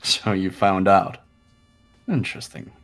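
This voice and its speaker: A low male voice put on by an amateur player for an arrogant young heir in a visual novel game. He is cold and contemptuous, clipping his words dismissively. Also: a little slow, fairly bright tone, faint music behind. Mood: curt, disdainful.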